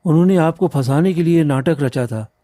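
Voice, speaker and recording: A man's voice: on the high side, moderate pace, mellow, no room sound, natural voice. A man voicing a loyal young villager and younger brother in a cartoon story, earnest and pleading. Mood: urgent. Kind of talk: Hindi cartoon story acting.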